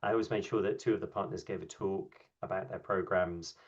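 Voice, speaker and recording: A man speaking on a video call as a workshop speaker, answering questions in a relaxed way with many ums and restarts. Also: deep voice, fairly quick. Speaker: invited speaker at an online workshop. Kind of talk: conversational talk and answers. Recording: dull, lo-fi video call audio.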